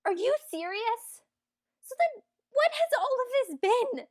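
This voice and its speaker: A woman's voice: a little high, unhurried, darkish tone, natural voice. A woman playing a spirited, outspoken young fairytale heroine in an audio drama. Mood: outraged.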